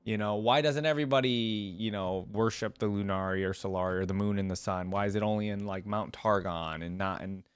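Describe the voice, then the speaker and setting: A man's voice: fairly low pitch, steady tone, brisk, hardly any pauses, clean tone, dry recording. A man hosting a reaction video, talking casually and unscripted to viewers in a rambling, musing way that often poses questions. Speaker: reaction channel host.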